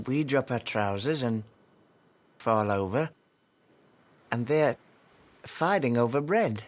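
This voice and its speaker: A man's voice: medium pitch, lively intonation, takes it slowly. An actor playing a young, troubled male comedian who is cynical and world-weary, in dramatic dialogue. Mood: bleak, agitated.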